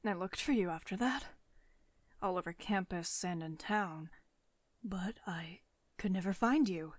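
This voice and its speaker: A woman performing an ASMR roleplay as a wealthy, possessive tech CEO, speaking in an intimate, deliberate way that often drops toward a whisper. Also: on the low side, dry recording. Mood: reminiscing, possessive.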